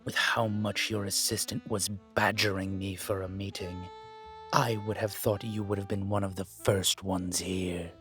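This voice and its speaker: Deep, slow, haughty male dragon played by a man. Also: little pitch movement, fairly bright tone, faint music behind. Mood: haughty, displeased. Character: proud, touchy, imposing male dragon crime rival. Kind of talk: audio roleplay acting.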